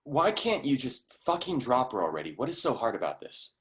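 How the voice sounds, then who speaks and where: A man's voice: mid-range voice, sing-song, brisk, a bit bright. A man acting an affectionate but impatient young secret lover in a staged play reading, casual and intimate. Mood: frustrated.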